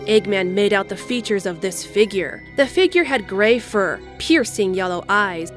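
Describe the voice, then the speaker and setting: Woman narrator over music. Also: mid-range voice. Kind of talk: scripted storybook narration.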